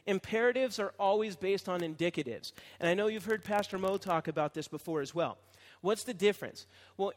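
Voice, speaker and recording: A man with a notably high voice delivers a teaching sermon in a relaxed, explanatory way, pausing to ask "okay?" as he goes. His voice rings with the long reverb of a church hall. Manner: explanatory, conversational teaching with frequent "okay?" checks. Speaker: pastor. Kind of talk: expository sermon.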